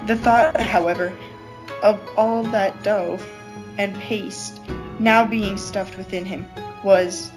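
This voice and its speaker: A woman's voice: medium pitch, steady tone, takes it slowly. Woman reading a story over music. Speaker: podcast host and narrator. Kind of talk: amateur fan fiction narration.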